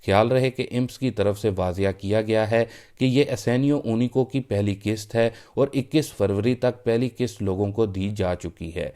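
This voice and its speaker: A man's voice: fairly low pitch, moderate pace, hardly any pauses, dark tone. A man presenting a news roundup for his online channel, reading the updates steadily and addressing viewers as friends. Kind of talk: read news update in Urdu.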